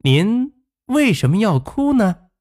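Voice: mid-range voice, very animated, slow pace, a little mellow, pitched above the performer's own voice. A storyteller voicing a kind, brave little pig for a children's fairy tale. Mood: polite, eager.

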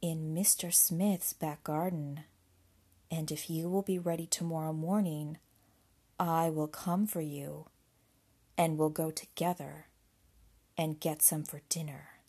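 A woman voicing a big bad male wolf in a children's storybook, menacing and sly, with drawn-out, coaxing words. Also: low-pitched, steady tone, dark tone, husky, pitched below the performer's own voice, heavily acted.

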